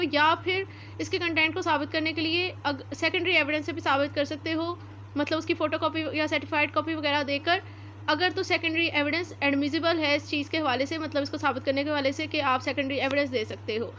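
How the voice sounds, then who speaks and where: Woman, Pakistani accent, high voice, methodical lecturer, explanatory delivery, code-switches into English, slight background noise. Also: sharp tone. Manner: methodical, reads formal text slowly then explains it point by point; mixes English terms into Urdu. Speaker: law lecturer. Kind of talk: explanatory exam-prep law lecture.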